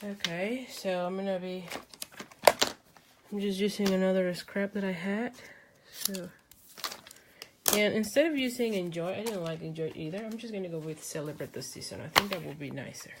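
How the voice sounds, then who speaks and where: A woman's voice: medium pitch, moderate pace, heavy background noise. A woman from Mexico, who speaks natively, hosting a live craft demonstration, thinking aloud as she works and answering viewers' comments. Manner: chatty, thinking aloud while she works, many ums. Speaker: crafting host.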